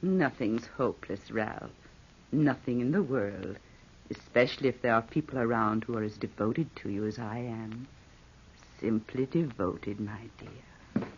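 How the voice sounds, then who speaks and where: An actress playing a genteel, devoted older aunt with a weak heart in an old-time radio drama. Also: female voice, very deep voice, measured pace, slight background noise. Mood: composed, doting. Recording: dull vintage radio recording.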